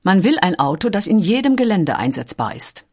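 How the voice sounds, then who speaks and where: A woman narrating a documentary in a calm, even storytelling manner. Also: mid-range voice, a little fast.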